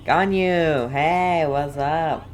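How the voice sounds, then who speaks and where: Female game streamer, extremely low register, casual and slow, thinks out loud. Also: a little hiss or hum. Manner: thinking aloud, repeating words. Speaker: gaming video host. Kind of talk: casual playthrough commentary.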